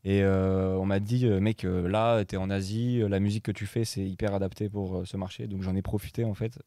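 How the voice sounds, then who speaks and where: A young man from Morocco chats as a guest on a talk show, pausing often with fillers and restarting his sentences. His voice sits a bit low and mellow, and the dry recording keeps every word close. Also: flat delivery, no echo. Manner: hesitant, many fillers and restarts. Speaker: guest DJ and producer. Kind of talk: casual chat.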